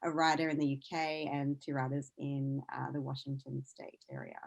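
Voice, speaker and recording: A woman's voice: very deep voice, animated, moderate pace, vocal fry. An Australian woman giving casual, informal interview answers, chatty and often restarting her sentences with plenty of "like". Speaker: interview guest, science news CEO.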